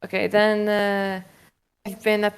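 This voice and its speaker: A woman's voice: medium pitch, completely flat delivery, measured pace, clean tone. A young adult woman, a VTuber guest, talking hesitantly in a casual interview and leaning on "like" and "you know".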